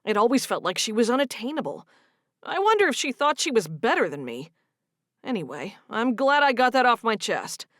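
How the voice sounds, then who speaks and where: A wounded, earnest young man, as a woman narrator puts him on, with a lively rise and fall in the voice and a fairly high tone. The storybook reading sounds dry and close, with no room around it. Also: moderate pace, no echo. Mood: uneasy. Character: hurt young man confronting a girlfriend.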